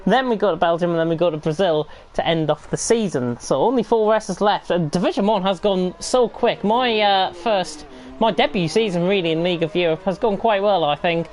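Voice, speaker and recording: A woman commentating live on an online racing league, croaky with a flu and rambling with frequent self-corrections. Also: lowish voice, brisk, darkish tone, a little hiss or hum, rough recording. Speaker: race commentator.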